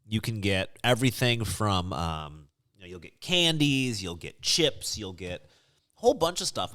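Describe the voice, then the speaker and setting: A man co-hosting a podcast, chatting casually and musing out loud as he tastes snacks, in an upbeat, chatty way. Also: fairly low pitch, no room sound. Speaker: podcast co-host. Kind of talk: casual snack-tasting chat.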